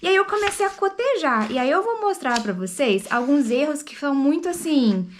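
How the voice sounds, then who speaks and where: Woman vlogger with a high voice who reviews books chattily to camera and checks in with viewers. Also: sing-song, moderate pace, a little hiss or hum. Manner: chatty, explains, asks viewers "right?". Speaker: book vlogger. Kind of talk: casual book review to camera.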